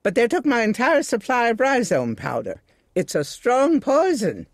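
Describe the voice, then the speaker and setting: A frightened shopkeeper apothecary in a video game. The voice is high and swings dramatically in pitch, recorded dry over music. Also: male voice, very animated, moderate pace, dry recording, heavily acted. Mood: grateful, worried.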